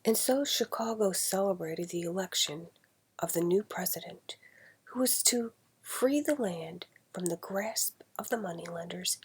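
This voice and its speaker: A woman with a husky, breathy voice reading an old novel aloud in a deliberate, dramatic storytelling manner. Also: medium pitch, a little mellow. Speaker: amateur reader.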